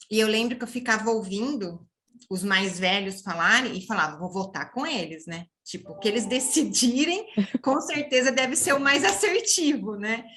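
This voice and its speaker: A woman's voice: medium pitch, a bit bright. A woman speaking as a podcast guest, casual and reflective, often closing phrases with a tag question.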